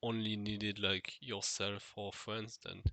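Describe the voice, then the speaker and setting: A man's voice: fairly low pitch, flat delivery, takes it slowly, gravelly, pushed and bright, no room sound. A man with a nasal, stuffed-nose voice musing alone to the camera in an unscripted vlog, hesitant, with many fillers and restarts. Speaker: vlogger. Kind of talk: unscripted solo monologue.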